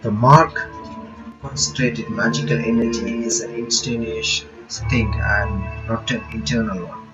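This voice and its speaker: A man reading a visual novel aloud in a halting way, stopping for casual asides to his viewers. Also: fairly low pitch, fairly even intonation. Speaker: reaction channel host. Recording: dull lo-fi audio with music behind.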